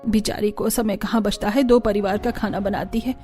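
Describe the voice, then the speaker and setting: Lady doing a homely middle-aged cartoon mom, soft mellow tone, fretful and caring. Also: mid-range voice, steady tone, normal speed, soft background music, lightly acted. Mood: chatty, concerned. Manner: fretful, motherly.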